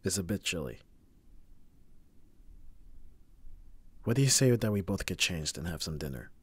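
Low husky young guy voice actor playing a gruff tsundere bodyguard, protective n exasperated, asmr roleplay, dry close sound. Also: sing-song, fast, no echo, full character voice. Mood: reluctant, softening. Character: abrasive young male tsundere bodyguard, secretly soft. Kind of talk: ASMR roleplay audio drama, acting to the listener.

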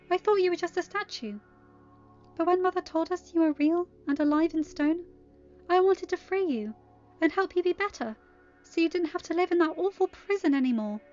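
A woman voicing a gentle, dutiful young princess in a story, loyal and earnest, with soft-hearted pleading. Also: very high-pitched, pitched above the performer's own voice. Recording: muffled lo-fi audio with music.

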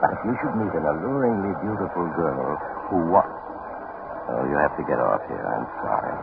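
An American actor playing a mysterious, sinister traveler who narrates dark tales in a 1950s radio suspense show. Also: male voice, extremely low pitch, lively intonation, moderate pace, vocal fry, narrow, tinny audio, heavily acted. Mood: sly, ominous. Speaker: host and narrator. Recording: very noisy, thin 1950s radio recording.

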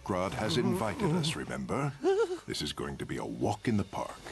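A self-assured billionaire schemer. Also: male voice, deep voice, huge pitch swings, moderate pace, soft background music, full character voice. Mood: confident. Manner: confident, reassuring, self-assured. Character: confident male billionaire villain, smooth schemer.

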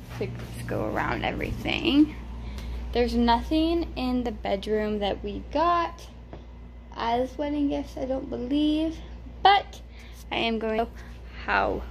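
A woman's voice: fairly high pitch, vocal fry, a little hiss or hum. A woman in her twenties giving a casual tour of a small apartment, holding a phone camera and talking in a loose, chatty way with many fillers. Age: 20s. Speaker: vlogger. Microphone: handheld phone camera.

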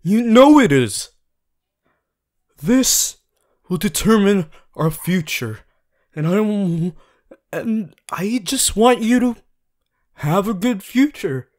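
A man playing an earnest, bossy, worried young student hero in an audio roleplay, talking to the listener in an insistent, halting, emphatic way. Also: high-pitched, expressive, a little mellow. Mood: pleading, insistent. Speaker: voice actor.

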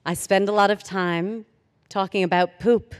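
Woman, 40s, commencement speaker at podium mic; smooth resonant voice, earnest with dry humor, long hall echo. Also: mid-range voice, fairly even intonation. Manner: earnest, measured, with light humor. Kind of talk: formal commencement speech. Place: large hall, at a podium on stage. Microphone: podium microphone. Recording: reverberant auditorium sound.

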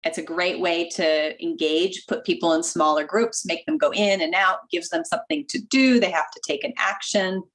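A woman in her forties, a doctor guest on a video call, explaining practical tips in a clear, instructive way through wired earbuds. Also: lowish voice, moderate pace, few pauses, fairly bright tone, low-quality recording. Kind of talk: interview answers, practical tips. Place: home office with bookshelf.

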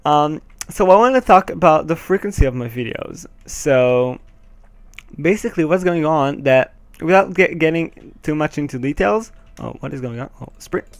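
A man's voice: on the high side, animated, normal speed, dry recording. A teenage boy from Israel with an Israeli accent, Hebrew his first language, hosting casual gameplay commentary through a headset, hesitant, with many ums and nervous laughs. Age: teens. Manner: hesitant, many ums, nervous laughs, swears when the game goes wrong. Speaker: gaming channel host.

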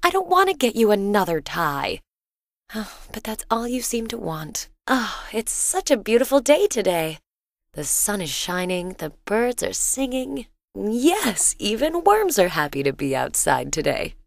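A woman voicing a cheerful, doting wife and mother in a video game, sweet and expressive, with an inquisitive streak. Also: mid-range voice, a little slow, vocal fry, no room sound, studio quality. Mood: cheerful, fond. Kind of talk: video game character voice acting.

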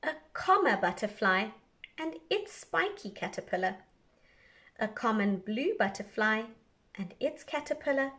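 Woman, teacher, fairly high voice, very slow pace, careful enunciation, children's educational read-aloud. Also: lively intonation, bright, forceful tone.

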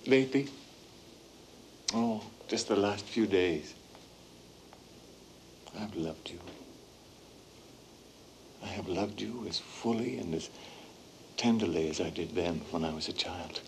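An actor playing an anguished, jealous young writer who is the son of an actress, earnest and brooding, turning bitter and shouting. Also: male voice, low voice, takes it slowly, long pauses, a little hiss or hum. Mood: tender. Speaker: actor playing Konstantin in a stage drama.

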